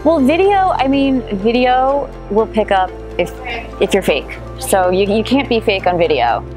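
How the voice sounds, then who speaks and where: A woman's voice: fairly high pitch, animated, measured pace. A woman with a New Jersey accent, a home staging expert speaking confidently and upbeat as a guest in a casual interview, handing out practical tips. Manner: confident, advice-giving, upbeat. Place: indoors on a couch. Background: background music.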